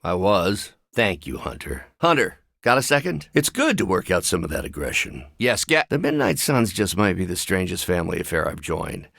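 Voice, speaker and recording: An actor voicing a brilliant, anxious, wry scientist with buried anger in a video game, speaking in precise, articulate, dryly sardonic phrases. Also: male voice, deep voice, very animated, moderate pace, vocal fry, hardly any pauses, a bit bright, no echo, studio quality, heavily acted. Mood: friendly, wry.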